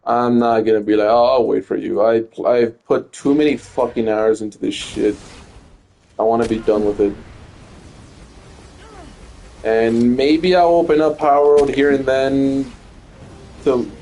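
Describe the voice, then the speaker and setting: A man's voice: medium pitch, fairly even intonation, normal speed, mellow, a little hiss or hum. Young male streamer, mid-range voice, loose rambling play-by-play with frequent stutters and cursing. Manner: rambling, stutters and repeats words, swears often. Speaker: gaming streamer. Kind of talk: casual gameplay commentary.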